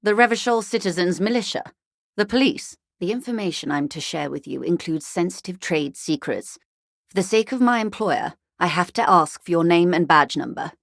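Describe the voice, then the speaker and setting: An actress playing a wealthy, poised company negotiator in a video game, speaking in polite, measured, formal phrases with dry irony. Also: female voice, on the low side, lively intonation, creaky, dry recording. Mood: businesslike, polite. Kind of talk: scripted game character dialogue.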